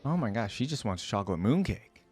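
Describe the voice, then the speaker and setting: A woman's voice: extremely low pitch, sing-song, a little fast, a little mellow, breathy edge. A young adult woman giving casual reaction commentary as a video host, in an amused manner.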